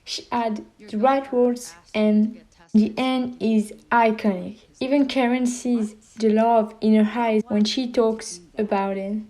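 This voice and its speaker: A woman's voice: on the high side, fairly even intonation, takes it slowly, dark tone, rough recording. A woman with a girlish voice hosting a fan video, chatting nervously and enthusiastically, with frequent giggles. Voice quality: girlish, childlike voice. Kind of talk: casual fan commentary.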